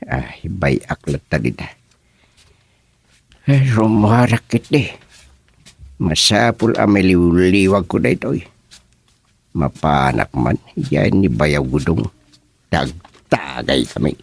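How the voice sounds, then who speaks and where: An actor playing a flustered, brooding middle-aged husband in an Ilocano radio comedy drama, with an Ilocano accent and a hesitant, muttering delivery. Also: male voice, sits low, sing-song, takes it slowly, creaky, pauses often, husky, no echo, heavily acted.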